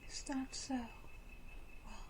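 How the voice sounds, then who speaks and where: A woman voicing an elegant, condescending vampire ruler, whispering with teasing, deliberate menace in an ASMR roleplay. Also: slight background noise. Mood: musing.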